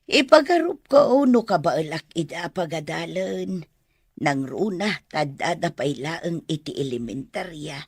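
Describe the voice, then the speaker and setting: A woman playing a humble, respectful grandmother who pleads slowly in an Ilocano radio drama. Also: fairly low pitch, husky, no room sound, studio quality, full character voice. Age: older.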